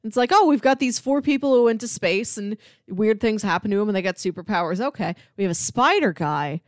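A woman's voice: medium pitch, lively intonation, a little fast, dry recording, studio quality. A woman co-hosting a podcast in casual, conversational chat. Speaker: podcast co-host.

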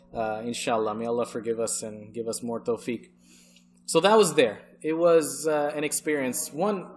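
A man's voice: medium pitch, slight background noise. An American man, an Islamic scholar, recounting his life story in an earnest, emphatic podcast interview, often checking in with his listener. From United States.

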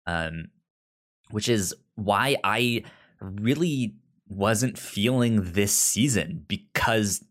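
A man's voice: on the low side, lively intonation, drawn-out words, fairly bright tone. A man co-hosting a podcast, chatting casually about a TV series, often stammering and restarting his words. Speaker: podcast co-host. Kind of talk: casual TV recap chat.

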